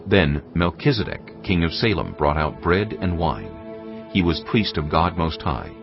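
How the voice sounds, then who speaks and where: A man narrating scripture in a measured, grave storytelling manner. The audio is dull and lo-fi. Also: sits low, very animated, vocal fry. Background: music.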